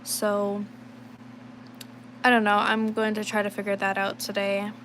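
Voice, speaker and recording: A young woman talks through her drawing on a livestream, rambling and trailing off with lots of fillers. She is under the weather, so a slight rasp sits in her mid-range voice. A faint hiss of noise runs behind her. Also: medium pitch, monotone, measured pace, fairly bright tone. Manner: rambling, thinks aloud, many fillers. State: sick, a little raspy. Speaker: livestreaming digital artist. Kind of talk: casual chat while drawing on stream.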